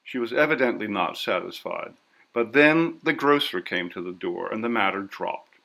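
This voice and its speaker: A man reading a story as scripted narration in a measured, literary delivery. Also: on the low side, lively intonation, vocal fry, sharp tone. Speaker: audiobook narrator.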